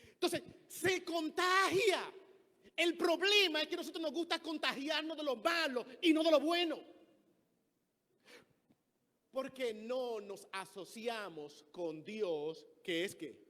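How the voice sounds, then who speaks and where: Dominican man, pastor, very high voice, lively storytelling sermon. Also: slow. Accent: Dominican Spanish. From Dominican Republic. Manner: lively storytelling, acting out the people he quotes. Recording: long reverb of a church hall.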